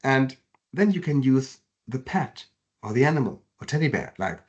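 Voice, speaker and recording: A man from Germany with a German accent, explaining in earnest how to learn his native language. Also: moderate pace. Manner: earnest, explanatory, with lively pitch swings. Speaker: language teacher. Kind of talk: language lesson to camera. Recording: dull lo-fi sound.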